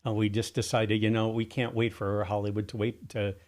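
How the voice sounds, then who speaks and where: A middle-aged or older man giving a conversational radio interview as a guest writer, halting as he recounts details. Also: fairly low pitch, level tone, a little mellow. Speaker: guest, audio drama writer and producer. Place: radio studio.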